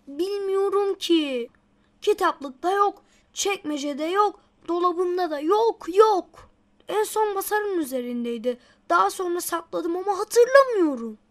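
A woman's voice: very high voice, animated, measured pace. A woman voicing an earnest, anxious little schoolboy in a children's cartoon. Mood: frustrated.

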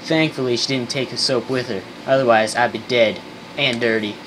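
Guy doing a washed up soap tycoon, deadpan n bitter, old timey slang, noisy amateur radio skit. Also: mid-range voice, normal speed, natural voice. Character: failed, soap-obsessed former tycoon, boastful and bitter.

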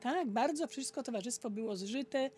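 A woman casually sharing memories in a group chat, halting and repeating herself as she goes. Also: on the high side, animated, darkish tone. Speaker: local resident sharing memories.

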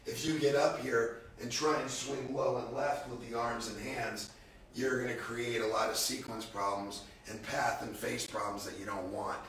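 A middle-aged man with a gravelly, raspy voice, a bit hoarse from a cold, giving a casual, blunt golf lesson on a livestream from an indoor simulator room. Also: mid-range voice, flat delivery, a bit bright, reverberant, a little hiss or hum, poor audio. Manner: blunt, casual, drawn-out emphasis. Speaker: golf instructor.

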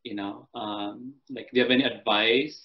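A man hosting a poetry reading panel on a video call, asking questions gently with many pauses and fillers. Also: fairly low pitch, steady tone. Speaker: panel host and anthology editor. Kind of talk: moderating a reading. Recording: muffled video call audio.

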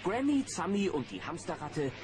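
A man narrating a scripted children's audio play as a lively storyteller. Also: fairly high pitch, normal speed, background noise.

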